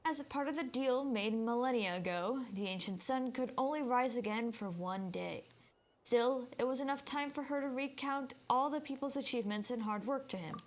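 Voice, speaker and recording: A woman with a fairly high voice streams a video game. She comments casually on the story and reads lines of it out loud. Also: normal speed. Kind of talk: casual game commentary and reading game text aloud.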